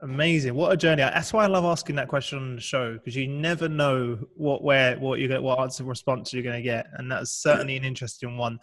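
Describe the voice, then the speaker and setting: A man's voice: mid-range voice, fairly even intonation, fast talker, few pauses, a bit bright. A man hosting a podcast interview, upbeat, laughing often and repeating words. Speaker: podcast host.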